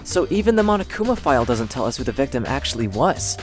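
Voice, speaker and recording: A man voicing an earnest, anguished high-school boy in an introspective, dramatic anime fandub. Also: sing-song, fast, echoey room, soft background music, close to an everyday voice. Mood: somber, determined.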